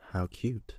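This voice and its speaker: A man playing a flirtatious, self-assured royal guard in an audio drama, teasing and husky. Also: darkish tone, no echo, clean studio sound.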